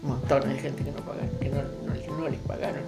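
A woman with an Argentine accent reflecting in an interview, halting, stressing key words. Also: very deep voice, little pitch movement. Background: plucked guitar music.

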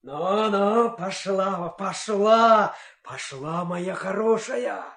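Lazy young lad playing the hero, high voice, slow drawn-out delivery, fairy-tale radio play, echoey sound. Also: male voice, a bit bright, full character voice. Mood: coaxing. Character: lazy young man turned bold horse thief.

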